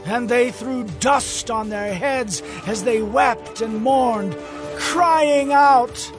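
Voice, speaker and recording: A man's voice: very high voice, extremely slow, over music. A man narrating a dramatized audio Bible in a solemn, dramatic manner.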